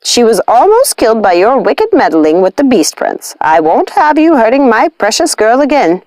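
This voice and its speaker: Woman as earnest, animated motherly nanny. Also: fairly high pitch, normal speed, no room sound. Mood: stern. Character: protective, firm, caring nanny who is secretly a witch.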